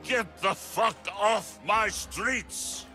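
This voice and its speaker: An actor voicing a brutal, menacing crime boss in a video game, with a gravelly, snarling, threatening delivery. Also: male voice, high-pitched, drawn-out words, bright, forceful tone, soft background music, heavily acted. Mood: menacing rage. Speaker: villain in an action game. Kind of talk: dramatic video game acting.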